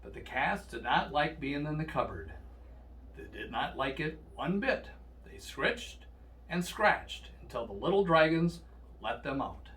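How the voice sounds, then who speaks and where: A man's voice: fairly high pitch, lively intonation, a little slow, a bit bright. A man reading a children's storybook aloud. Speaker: storybook reader. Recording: distant mic, faint noise.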